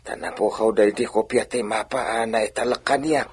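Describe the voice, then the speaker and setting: An actor playing a deferential, scheming old man in a radio drama, with a raspy, coaxing delivery. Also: on the low side, fairly even intonation, moderate pace. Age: older.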